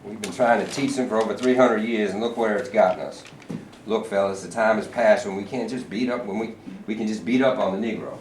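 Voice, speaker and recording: A man's voice: fairly low pitch, fairly even intonation, a little fast, close to an everyday voice. A man playing a sly, self-assured state governor in a staged reading. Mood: scheming. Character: smug scheming male state governor. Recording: echoey room, read from far off, noisy.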